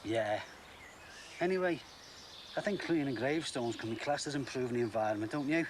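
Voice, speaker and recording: A woman's voice: a bass voice, a little fast. An actress playing an older woman who teases a girl fondly. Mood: teasing. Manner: teasing, affectionate. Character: teasing older woman, fond and cheeky. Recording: outdoor noise in a drama scene.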